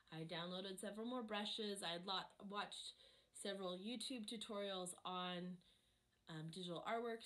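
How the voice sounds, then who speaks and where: A woman in her thirties hosting an art channel, chatting reflectively in a voice-over, her voice hoarse from a cold. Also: medium pitch, a bit bright, rough recording. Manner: reflective, chatty, many ums. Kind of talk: casual voice-over chat over a painting.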